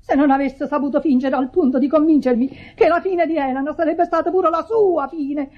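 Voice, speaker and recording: Woman acting pleading self-justifying sister, really high voice, theatrical, dull old radio recording. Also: fairly even intonation, a little fast, natural voice. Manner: dramatic, imploring.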